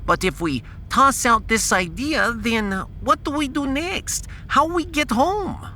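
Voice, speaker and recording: A man's voice: very high voice, lively intonation, slow, pushed and bright, slight background noise, pitched above the performer's own voice, exaggerated voice. A man voicing an earnest, thoughtful starship scientist in a science fiction audiodrama.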